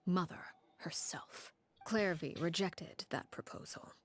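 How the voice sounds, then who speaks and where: A woman playing a cold, composed, sinister leader in a game, telling a dark story in a hushed, breathy, measured voice. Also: on the low side, in a whisper. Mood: grave, somber. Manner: measured, solemn, deliberate pauses. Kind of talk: dramatic scripted game dialogue, storytelling.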